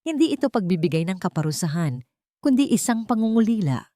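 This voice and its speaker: A woman's voice: medium pitch, sing-song, a little fast, warm tone, dry recording, voice pushed up, close to an everyday voice. Woman narrator as stern mafia boss, hurt, commanding, love-story drama, dry close sound. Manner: firm, commanding. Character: stern, wounded, disappointed man, a mafia boss.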